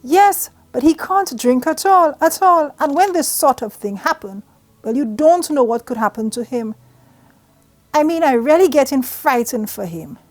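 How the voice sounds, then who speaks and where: Woman reading as a fretful high-voiced mother. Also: expressive, measured pace, no echo. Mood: worried, fretting. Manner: emphatic, fretful, dialect speech. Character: worried, scolding Caribbean mother of a teenage son.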